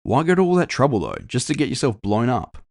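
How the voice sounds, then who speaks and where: A man playing a frank, worldly male friend with a low-key, sardonic, matter-of-fact manner in cyberpunk audio fiction. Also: very animated, fast talker, darkish tone, dry recording, studio quality. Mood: sarcastic.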